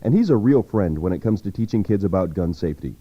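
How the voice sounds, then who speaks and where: A man's voice: low-pitched, animated, fast, dark tone, husky. A man reading a scripted radio public service announcement, on a dull recording.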